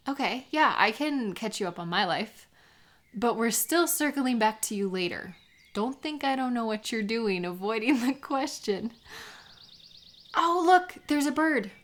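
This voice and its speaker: A woman playing a warm, teasing best friend in a lighthearted, intimate audio roleplay, chatting with gentle encouragement and small hesitant pauses. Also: fairly high pitch. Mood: affectionate, probing, then curious, delighted.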